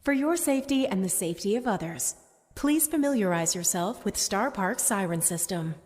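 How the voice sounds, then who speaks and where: A woman's voice: mid-range voice, expressive, normal speed, a little mellow, lightly acted. An actress playing a composed, officious female announcer reading absurd safety instructions in a formal style. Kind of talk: scripted public safety announcement parody.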